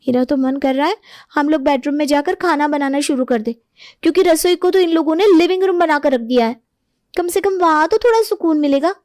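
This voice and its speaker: A woman's voice: sits high, fairly quick, darkish tone, dry recording, studio quality, voice pushed up, close to an everyday voice. A woman voicing an exasperated young daughter-in-law in a moral story, scolding with sarcasm. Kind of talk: animated moral-story voice acting.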